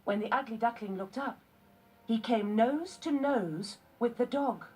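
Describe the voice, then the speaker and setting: A woman narrating a children's story from a script in measured phrases with short pauses. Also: on the high side, darkish tone, a little hiss or hum. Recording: music behind the voice. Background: music.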